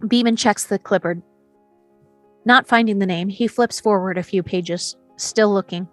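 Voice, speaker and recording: A woman's voice: medium pitch, a little slow, no echo, soft background music. A woman narrator reading screenplay action and scene descriptions at a table read. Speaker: narrator reading stage directions.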